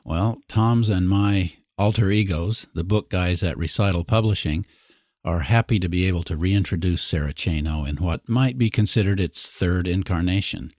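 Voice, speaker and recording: A man's voice: sits low, moderate pace, a little mellow. A man hosting a podcast, speaking in a conversational literary interview style. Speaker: podcast host.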